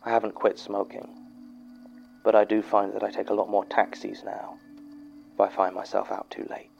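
Stuffy dry archivist in an audio drama, real low voice, muffled tape sound. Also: male voice, monotone, vocal fry, a little mellow, faint music behind. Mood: uneasy. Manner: formal, clipped, measured. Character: dry, sceptical male archivist recording case notes.

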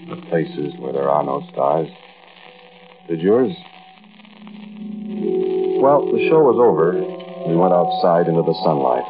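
A low, expressive American man confides a story as in a 1940s radio drama. He is folksy and musing, pausing and repeating himself, through a muffled, hissy old recording. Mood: musing, uneasy. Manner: conversational, hesitant, musing, with pauses and repeats. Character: folksy American man recounting an uncanny mountain outing. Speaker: narrator and lead character. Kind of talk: 1940s radio acting, first-person confiding monologue.

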